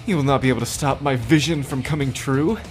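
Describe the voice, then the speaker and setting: A man's voice: mid-range voice, fast talker, soft background music, close to an everyday voice. A man playing a scheming, smarmy and cowardly villain broker in a dramatic audio drama. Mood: gloating, defiant.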